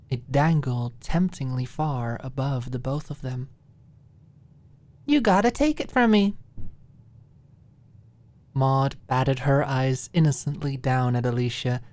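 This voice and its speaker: A woman narrating scripted fiction as an ASMR audiobook, with expressive, dramatic storytelling. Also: rumbling low.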